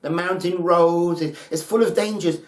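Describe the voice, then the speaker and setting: A man voicing a frail, loving old mother in a folktale, speaking with gentle, earnest, measured care. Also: sits high, steady tone, pitched above the performer's own voice, lightly acted. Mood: tender warning. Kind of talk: dramatic folktale storytelling. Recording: roomy, echoing space.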